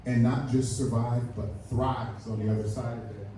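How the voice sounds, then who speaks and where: Excited man congratulating a friend. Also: mid-range voice, moderate pace. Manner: warm, excited, heartfelt. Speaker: ceremony speaker. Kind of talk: congratulatory speech. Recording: echoey hall, mic far off, faint noise.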